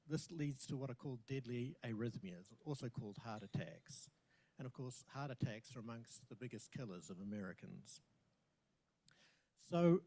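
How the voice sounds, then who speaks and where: A man from the South Pacific explains his research at a university panel. His voice is soft and carries little, and he talks casually with easy humor and filler words. His voice sits at a middle pitch. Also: poor audio. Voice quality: soft-voiced, does not project well. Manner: casual, self-deprecating humor with frequent ums. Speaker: researcher and professor. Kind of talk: speaking at a public panel.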